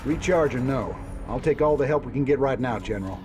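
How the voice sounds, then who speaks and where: An actor playing an earnest, weary but determined rebel commander in a video game. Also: male voice, medium pitch, lively intonation, a little fast, music in the background, natural voice.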